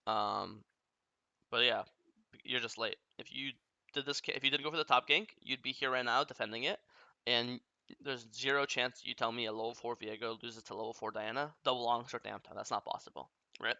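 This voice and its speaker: A young man coaching over a game replay, blunt and casually profane as he walks through each mistake. His voice is bright and forward, recorded dry with a slightly dull, muffled top end. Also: mid-range voice, fairly even intonation, vocal fry, dry recording. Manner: blunt, profane, rapid explaining.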